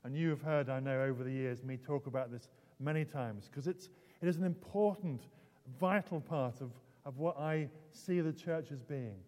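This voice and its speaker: British preacher with failing voice, reverberant church. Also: male voice, medium pitch, normal speed, darkish tone. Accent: British English. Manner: hesitant, self-correcting, repeats words. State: losing his voice, throat clearing. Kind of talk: sermon, conversational and explanatory. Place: large indoor church, long reverb.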